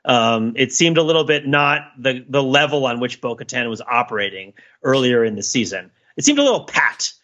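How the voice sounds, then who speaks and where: A man's voice: medium pitch, expressive, moderate pace, hardly any pauses, fairly bright tone, dull audio. A man hosting a podcast, talking casually and analytically in a wordy way that circles around his point. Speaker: podcast host. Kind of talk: casual analytical discussion of a TV show.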